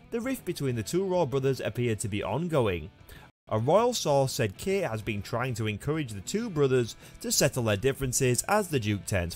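Male news narrator for scripted reads: formal, mid-pitched, very varied intonation, light music bed. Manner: formal, read-aloud delivery. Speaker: narrator of a royal news channel. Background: background music.

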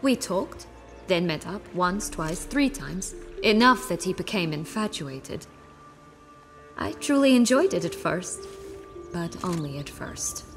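Lowish haughty wounded noble lady, fantasy game, sardonic and theatrical, music playing behind. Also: lively intonation, takes it slowly. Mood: wistful confession. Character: haughty, cynical, wounded female noble with dark past. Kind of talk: fantasy video game acting.

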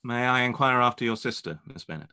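A young man playing a proud, reserved gentleman speaking formally in a period drama read over video call. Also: medium pitch, animated, quick pace, clear, resonant tone. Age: young adult. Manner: formal, terse. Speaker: actor in live read.

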